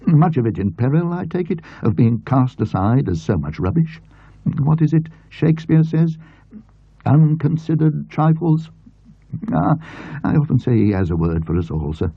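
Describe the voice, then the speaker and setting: A man's voice: a little high, very animated, moderate pace, creaky, breathy edge, no echo, phone-quality sound, pitched above the performer's own voice, full character voice. A man voicing a wordy, self-important, obsequious English tradesman in an audiobook story. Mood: fawning, earnest. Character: pompous, obsequious English tradesman with homespun grammar. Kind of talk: audiobook character voice.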